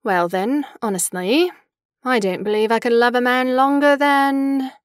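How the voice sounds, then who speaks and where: An imperious young lady lover in an audio drama. Her voice sits fairly high, poised and articulate, with a teasing, mocking edge. The sound is close and dry. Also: measured pace, clean tone, no echo. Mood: frank, playful.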